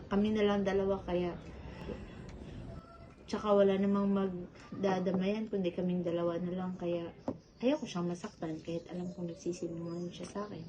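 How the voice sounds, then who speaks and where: A woman's voice: mid-range voice, natural voice. A Filipino woman playing a hardworking, self-sacrificing older sister in a stage drama, speaking in an earnest, motherly, pleading way. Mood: resigned, tender. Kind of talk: amateur one-act stage play acting in Filipino. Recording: dull lo-fi sound, faint noise.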